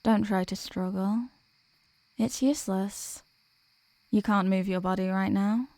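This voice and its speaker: A woman's voice: mid-range voice, fairly even intonation, a little slow, a little mellow, no echo, lightly acted. A woman playing a teasing sleep paralysis demon who can be menacing or apologetic and tender, in an intimate ASMR audio roleplay. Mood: slow menace. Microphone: close microphone, dry.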